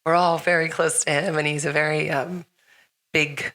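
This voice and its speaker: A middle-aged woman hosting a podcast in casual chat, her voice a bit raspy after being sick, rambling with fillers and restarts. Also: deep voice, little pitch movement, moderate pace, a bit bright. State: slightly raspy from recent illness. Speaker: podcast host.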